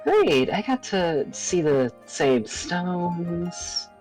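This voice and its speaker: Woman acting as elf, female, cheerful, self-mocking, theatrical, lively swooping intonation, faint music behind. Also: on the low side, very slow, pitched above the performer's own voice, exaggerated voice, low-quality recording. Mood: chirpy, eager. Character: cheerful, bored elf woman living a quiet grove life.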